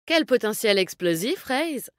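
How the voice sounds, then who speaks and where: A fairly high female voice for a tough, animal-loving game fighter. She is brash and confident, with brisk, slangy delivery. The sound is dry, with no room to it. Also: very animated, dry recording. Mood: wry, scornful. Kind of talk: video game character voice lines.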